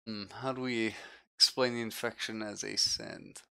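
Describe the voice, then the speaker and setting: A man's voice: lowish voice, flat delivery, moderate pace, gravelly, pushed and bright, smooth. A man running a tabletop role-playing game as game master, casual and sweary, teasing the players as he narrates.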